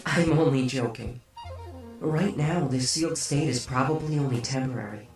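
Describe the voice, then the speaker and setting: A man playing a calm, polite young man who now appears as a glowing spirit, speaking smoothly with a light teasing edge. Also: a little high, close to an everyday voice, rough recording. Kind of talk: fan audiobook acting. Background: music.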